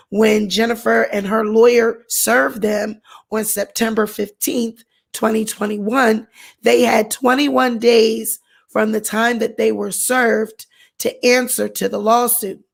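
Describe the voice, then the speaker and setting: Hoarse woman vlogger, slow gravelly delivery. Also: mid-range voice. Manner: chatty, frequent "you know" and "um" fillers. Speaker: channel host. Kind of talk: casual commentary vlog.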